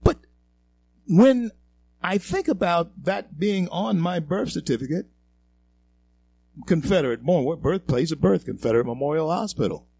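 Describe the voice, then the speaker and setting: A talk show host from Louisiana, giving a halting monologue full of fillers and repeated words that swells into emphatic peaks. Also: male voice, a little high, animated, gravelly, darkish tone, slightly rough. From Louisiana, American South.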